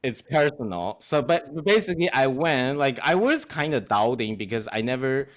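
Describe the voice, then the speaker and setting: Young man from China, non-native English speaker, animated and laughing guest in a casual interview. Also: medium pitch, normal speed. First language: Chinese. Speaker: guest artist.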